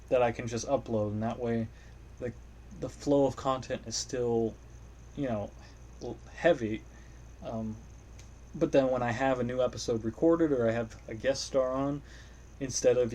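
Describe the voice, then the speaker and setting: A young man talks through a solo podcast episode in a loose, rambling way, often joking at his own expense and swearing casually. His voice sits at an ordinary pitch with a dark, mellow tone. A faint hum of room noise stays under him. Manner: rambling, self-deprecating, profane. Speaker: podcast host. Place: home room, playing a video game.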